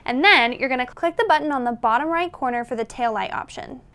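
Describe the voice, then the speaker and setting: A woman's voice: high voice, quick pace, sharp tone, smooth. A woman presenting a product tutorial in an instructional, step-by-step manner. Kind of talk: tutorial narration.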